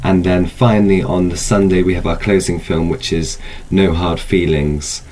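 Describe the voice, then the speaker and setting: A man's voice: deep voice, steady tone, normal speed, darkish tone, slight background noise. A man speaking as an event organiser in an informal interview, explaining things in a loose, hedging way. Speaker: film festival organiser, interview guest.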